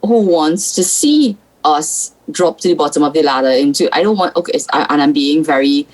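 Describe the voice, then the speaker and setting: Blunt Trinidadian woman senator, low voice, talk-show guest. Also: lively intonation, moderate pace, rough recording. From South Trinidad. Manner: blunt, frank opinions. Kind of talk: political talk show discussion.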